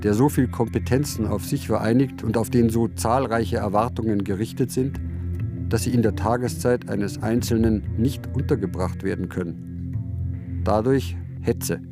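An actor playing an overlooked, dutiful valet's assistant in a radio play, speaking formally and precisely. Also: male voice, lowish voice, normal speed, music in the background, lightly acted. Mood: earnest, dutiful.

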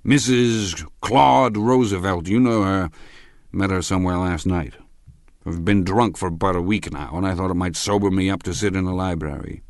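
A man's voice: deep voice, moderate pace, darkish tone, dry recording, studio quality. A man voicing a stout, drunk middle-aged party guest in an audiobook, impetuous and emphatic as he rambles. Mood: tipsy, demanding. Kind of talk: audiobook character voice.

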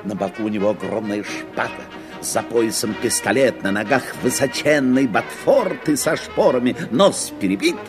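A man's voice: medium pitch, animated, normal speed. A man reading scripted fairy-tale narration as a storyteller. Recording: violin music behind narration. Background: violin music.

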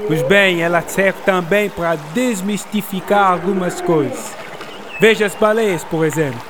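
A man playing an affable, learned gentleman writer in a radio drama, explaining things in a genial, formal way. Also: high voice, unhurried, background noise. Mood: didactic.

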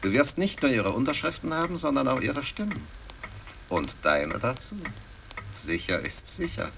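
An actor playing a scheming, calculating son of an aristocrat in a radio drama, precise and conspiratorial. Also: male voice, mid-range voice, very animated, normal speed, gravelly, fairly bright tone. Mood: scheming, confident. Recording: distant, noisy 1960s radio recording.

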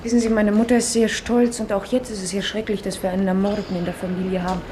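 A woman's voice: medium pitch, level tone, fast talker, close to an everyday voice. An actress playing a murdered man's guarded daughter in a 1950s German radio play, answering hesitantly. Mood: guarded, uneasy. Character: murdered man's guarded, working daughter in awkward awe of family. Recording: old radio recording with clear background noise.

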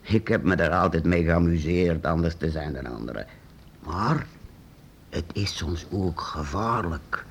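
An actor playing a secretive, eccentric master painter-inventor in a radio play, hesitant and halting, then urgent. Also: male voice, low voice, slight background noise. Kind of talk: radio drama acting.

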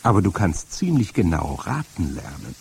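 A man's voice: deep voice, very animated, normal speed, vocal fry, a little mellow, slightly rough, full character voice. A man doing a stern old grandmother. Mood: grave. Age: older. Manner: stern, solemn warnings. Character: very old, stout, wise grandmother.

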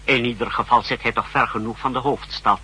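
A man's voice: medium pitch, steady tone, brisk, pushed and bright, slightly rough, full character voice. An actor playing a zealous secret service subordinate, reporting formally and deferentially to his chief in a 1960s radio drama. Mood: brisk, formal. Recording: faintly noisy 1960s radio recording.

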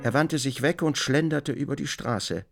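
A man narrating a literary radio drama in a measured, deliberate storytelling manner. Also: mid-range voice, gravelly, loud music behind the voice.

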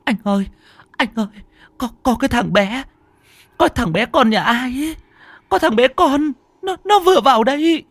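Guy doing a high shaky scared young wife, stammers, dry close sound. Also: big pitch swings, measured pace, long pauses, a bit bright, dry recording, full character voice. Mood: panicked. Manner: stammering, panicked.

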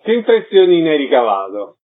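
Lively higher-pitched man hosting radio. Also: brisk, narrow, tinny audio. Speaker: radio host and interviewer. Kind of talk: radio interview, scripted introductions.

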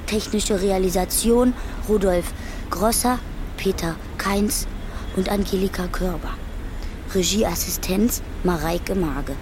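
Slow, formal woman announcer over background noise. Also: mid-range voice. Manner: formal, matter-of-fact. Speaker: radio drama credits announcer. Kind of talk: scripted announcement.

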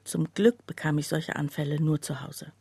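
An actress playing a troubled woman who recounts her own breakdown in a radio play, with a husky, breathy voice. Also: deep voice, steady tone, moderate pace, mellow, dry recording, heavily acted. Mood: confessional. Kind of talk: scripted first-person radio play narration.

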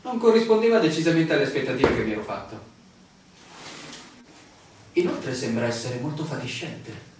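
A man's voice: medium pitch, lively intonation, normal speed, pauses often, close to an everyday voice. Man acting a weary stranger, very echoey recording. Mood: puzzled. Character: weary male stranger recounting his bewildering walk. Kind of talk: theatrical radio drama monologue. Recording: very echoey, distant, noisy radio play recording.

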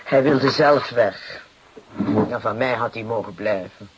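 A performer playing a weary, aggrieved working-class mother of seven in a Dutch radio drama, plaintive and hesitant, with a husky, breathy voice. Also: mid-range voice, unhurried, lo-fi sound, noisy background, natural voice.

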